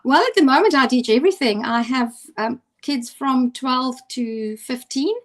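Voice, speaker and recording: Afrikaans lady chatting on a call, a bit high-pitched, keeps restarting her words. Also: moderate pace. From South Africa. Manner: hesitant, many restarts and fillers. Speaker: teacher and co-host. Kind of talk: casual video-call conversation.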